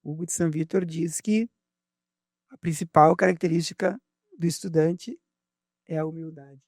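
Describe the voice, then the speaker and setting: A man's voice: fairly high pitch, steady tone, normal speed, a little mellow, dry recording, clean studio sound. A man, co-hosting a podcast in casual conversation, hesitant, with many fillers and restarts. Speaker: podcast co-host.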